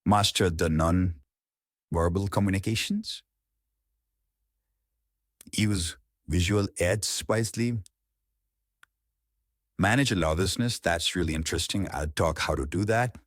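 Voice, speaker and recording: A man with an Indian accent giving an informal instructional talk in an earnest, halting way, repeating words for emphasis. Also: low voice, no room sound, clean studio sound. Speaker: public speaking coach.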